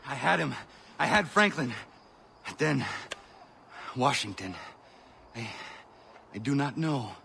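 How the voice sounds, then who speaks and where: A man playing a serious young hunter hero in a video game, reserved and deliberate in his speech. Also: mid-range voice, animated, vocal fry, slight background noise, full character voice. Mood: troubled. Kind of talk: video game cutscene acting. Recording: loud background music.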